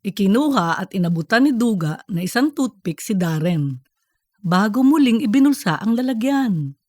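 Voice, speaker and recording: A woman's voice: mid-range voice, sing-song, normal speed, darkish tone, dry recording, clean studio sound. A woman reading scripted narration for a comedic audiobook story.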